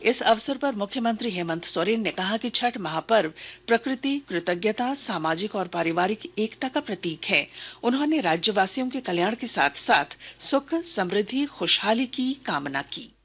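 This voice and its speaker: A woman reading a scripted radio news bulletin in a formal, measured manner. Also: medium pitch, fairly bright tone, breathy edge. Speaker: news reader.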